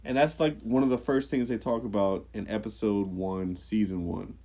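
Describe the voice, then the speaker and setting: A man co-hosting a podcast, chatting casually, swearing freely and jumping into squeaky mock voices. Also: steady tone, normal speed, warm tone. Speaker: podcast co-host. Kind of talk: casual chat.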